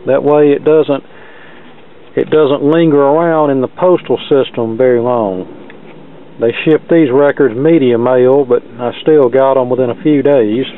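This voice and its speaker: A man's voice: medium pitch, fairly even intonation, measured pace, narrow, tinny audio. A man hosting a casual unboxing video, giving practical advice in a plainspoken, folksy, matter-of-fact way. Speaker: video host, record collector.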